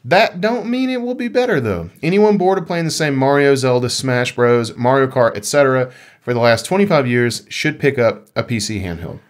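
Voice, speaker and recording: A man's voice: mid-range voice, animated, moderate pace. A man co-hosting a podcast, chatting casually and emphatically about video games in an informal way. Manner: enthusiastic, emphatic, informal with swearing. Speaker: podcast co-host.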